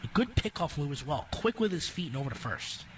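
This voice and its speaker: Higher-voiced male baseball analyst, slightly distant. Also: big pitch swings, brisk, slight background noise. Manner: conversational, explanatory. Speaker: baseball color commentator. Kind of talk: analytical radio sports commentary.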